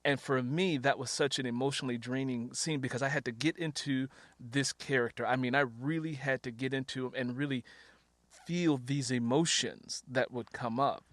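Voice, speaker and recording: A man's voice: mid-range voice, steady tone, moderate pace, a bit bright. A man interviewing as a host and fellow author, chatty and conversational, sharing his own experience as he leads into questions. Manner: chatty, illustrates questions with personal anecdotes.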